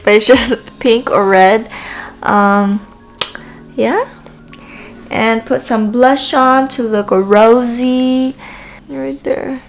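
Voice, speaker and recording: A woman's voice: on the high side, steady tone, drawn-out words, long pauses, soft background music. A woman with a Filipino accent talks through a makeup tutorial in a casual, hesitant way, with frequent ums. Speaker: makeup vlogger.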